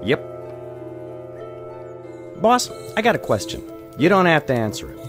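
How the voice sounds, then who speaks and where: An actor playing a blunt, streetwise henchman with American wiseguy phrasing, questioning his boss in an animated fantasy drama. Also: a little high, measured pace, music in the background. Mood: questioning, deferential.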